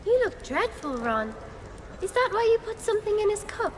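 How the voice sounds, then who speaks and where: A woman's voice: very high voice, big pitch swings, normal speed, noisy background. An actress playing a dreamy, candid, eccentric teenage girl in a video game, airy and guileless. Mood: blunt.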